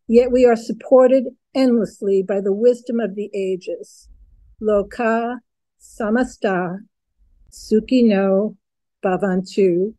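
Older woman minister, hoarse with a cough, slow-spoken and mellow, soft heartfelt chanting over a close video call. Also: a little high, no echo, low-quality recording. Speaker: guest minister. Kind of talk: prayer and chanting at a vigil. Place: home room, indoors. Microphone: video call, phone held close.

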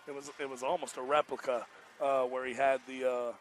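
A man's voice: mid-range voice, flat delivery, fairly quick. A man with an American accent, a coach talking casually and emphatically in a post-game interview, repeating his points in colloquial speech. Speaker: basketball coach. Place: indoor basketball arena, courtside. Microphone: headset.

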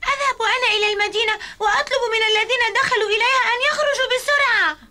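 A woman's voice: extremely high pitch, racing, sharp tone, exaggerated voice. A woman voicing an earnest, polite, spirited young boy sailor in a dubbed cartoon, with an eager, pleading manner. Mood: curious, determined. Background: music.

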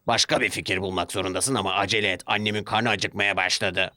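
Man playing a stern, forceful railway boss. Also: on the low side, steady tone, fast, no echo, studio quality. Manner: stern, commanding. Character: stern, impatient male railway controller, portly boss. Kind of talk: children's cartoon character voice.

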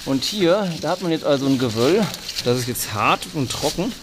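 A man's voice: medium pitch, lively intonation, normal speed. A man working as a nature guide, casually explaining things to children. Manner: asks questions and echoes children's answers. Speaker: conservationist leading a nature walk. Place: farm estate at night, outdoors and in a barn. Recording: noisy outdoor field recording.

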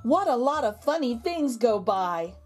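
A woman reading a picture book aloud to young children, with expressive, exaggerated intonation and drawn-out words. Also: on the high side. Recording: background music under the voice. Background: music.

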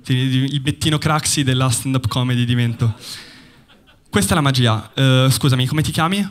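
A young man from Bologna does a stand-up set on a theater stage, speaking into a handheld microphone. His delivery is deadpan and ironic, with a mid-range pitch, and he pauses to let the jokes land. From Bologna, Italy. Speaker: stand-up comedian. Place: theater stage before a live audience. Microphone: handheld mic.

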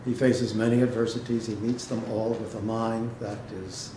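A man giving a dramatic literary reading and talk to an audience, speaking in a measured way with pauses. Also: lowish voice, level tone. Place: indoor hall, seated before an audience. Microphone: microphone on a stand, recorded by a distant camera. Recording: echoey room, noisy background.

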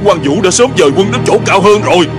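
A dutiful subordinate officer in a cartoon war epic. He sounds earnest and urgent in a high male voice, over loud dramatic music. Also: animated, fast. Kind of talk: Vietnamese TV dub of an animated historical war epic.

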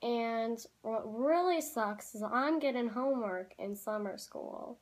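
A teenage girl vlogging from a room at home, rambling in a casual, chatty way with lots of "like"s and quick apologies. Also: on the high side. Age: teens. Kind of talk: casual chat to camera. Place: small room at home, indoors.

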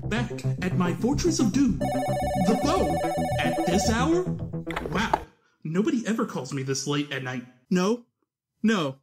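Sardonic skinny young cartoonist, played by a man, narrating his own dreary nights with theatrical mock-grandeur. His mid-pitched voice leaps up and down expressively. Music plays behind him. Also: huge pitch swings, slow, full character voice. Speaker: narrator and lead.

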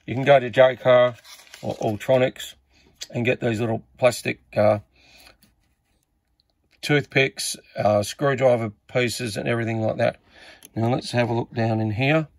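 A man's voice: medium pitch, fairly even intonation, unhurried, long pauses, no room sound. An Australian man giving a casual, unscripted repair walkthrough, hesitantly thinking aloud as he works. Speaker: radio repair hobbyist presenter.

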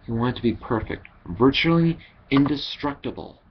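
A young man reading scripted dramatic lines as an amateur voice actor, haltingly and with repeated words, into a headset microphone at home. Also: mid-range voice, expressive. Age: young adult. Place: wood-panelled living room at home. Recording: dull headset audio with background hiss.

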